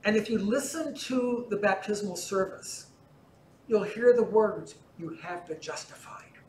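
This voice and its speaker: An older man with a fairly high voice teaches a parish class in an easy, conversational way, gesturing as he explains. His voice rings through a large church and is picked up by a far camera. A faint hum sits underneath. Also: measured pace, roomy sound. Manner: relaxed explaining, gesturing as he talks. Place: large church interior in front of icons.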